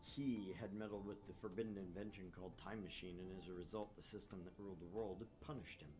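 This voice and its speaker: A man's voice: low voice, fairly even intonation, fast talker, over music. A man hosting a gaming video, reading a visual novel aloud and adding casual, joking commentary.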